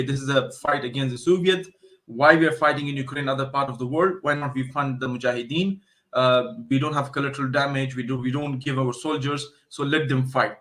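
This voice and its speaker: A man's voice: medium pitch, fairly bright tone. A man from Afghanistan with an Afghan accent, speaking as an expert guest in a conversational interview, explaining and telling stories with emphatic repetition.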